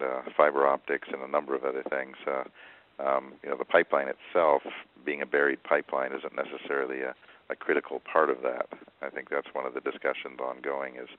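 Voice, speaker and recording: A man with a very deep voice answers questions on a conference call, hesitating and restarting his sentences often. He talks in a flat, even tone. The sound is tinny, like a phone line. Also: dry recording. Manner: halting, many fillers and restarts. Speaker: oil company executive answering analysts.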